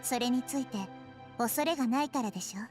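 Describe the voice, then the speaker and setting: A woman playing a small young goddess in an anime game, calm, wise and solemn as she passes judgment. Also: high-pitched, racing, natural voice. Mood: calm, knowing. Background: game music.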